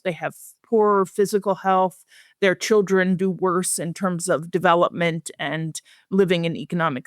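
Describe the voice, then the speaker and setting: Woman, slightly low pitch, measured explanatory delivery, researcher being interviewed, dry close sound. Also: no echo. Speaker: expert guest, researcher.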